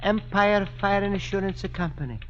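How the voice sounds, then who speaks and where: A man's voice: sits high, normal speed, a bit bright. An actor playing a naive, good-hearted Italian immigrant man with earnest broken English and a thick Italian accent, in a radio comedy. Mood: polite, hesitant. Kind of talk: 1950s radio situation comedy acting. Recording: dull, noisy old radio broadcast.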